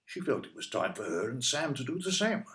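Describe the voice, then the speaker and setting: An actor playing a blunt, stubborn older British husband in an audio play, speaking in a dry, matter-of-fact way. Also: male voice, mid-range voice, big pitch swings, fairly quick, fairly bright tone. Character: blunt, grumpy older husband wanting his freedom.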